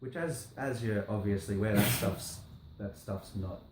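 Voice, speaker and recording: A man's voice: fairly low pitch, normal speed. An Australian man, a rapper, talking in a casual interview and rambling, restarting his sentences and repeating himself often. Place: indoor room, on a couch. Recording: roomy echo, faint background noise.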